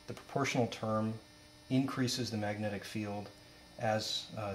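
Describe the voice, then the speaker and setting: Low-pitched young man, flat delivery. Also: poor audio. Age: young adult. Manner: matter-of-fact, explanatory. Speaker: student presenter. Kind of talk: informal demonstration narration. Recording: steady mains hum, background noise.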